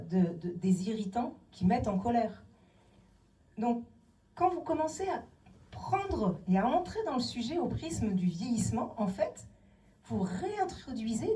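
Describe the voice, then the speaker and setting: A woman's voice: fairly high pitch, warm tone, recorded from a distance, poor audio. A middle-aged woman giving an emphatic public talk on city design to an audience, asking rhetorical questions. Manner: emphatic, rhetorical questions, acts out quoted voices. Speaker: speaker on inclusive city design. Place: town hall meeting room.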